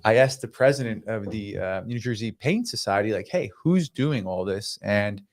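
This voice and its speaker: A man's voice: lowish voice, expressive, moderate pace. A man in his thirties whose first language is Croatian, a pain doctor chatting as a podcast guest, enthusiastic with many fillers and restarts. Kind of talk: casual podcast conversation.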